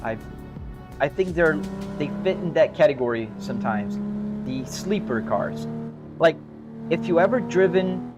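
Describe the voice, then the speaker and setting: A man with a Brazilian accent chats loosely with his live audience, looping back and hedging as he answers their questions. His voice sits a touch on the high side, and music plays clearly behind him. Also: lively intonation, unhurried, pauses often. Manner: easygoing, rambling, restarts and hedges. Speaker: sim racing live streamer. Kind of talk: casual chat answering live chat. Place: home sim racing rig. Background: music playing.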